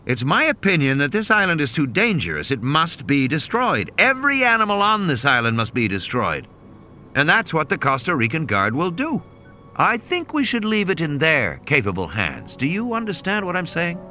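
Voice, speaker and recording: A man's voice: fairly high pitch, wildly swooping pitch, normal speed, bright, forceful tone, a little hiss or hum, voice pushed up, heavily acted. A man playing a stubborn, evasive male lawyer in an audiobook, insistent and argumentative. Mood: alarmed, insistent. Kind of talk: audiobook character dialogue.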